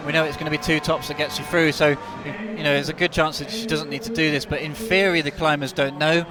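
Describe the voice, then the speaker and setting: A man co-commentating live on a climbing competition, enthusiastic and excitable, often repeating words as he reacts to the action. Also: fairly high pitch, fast. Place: big climbing competition hall with a large crowd. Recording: loud crowd and background noise in an arena.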